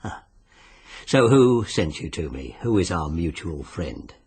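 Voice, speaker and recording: An actor playing a shady disbarred lawyer turned betting agent in a radio drama, with a husky voice and an insinuating, wryly jovial manner. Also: male voice, low-pitched, very animated, unhurried, a little mellow, heavily acted. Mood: sly, probing. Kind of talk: 1940s-style British radio drama acting.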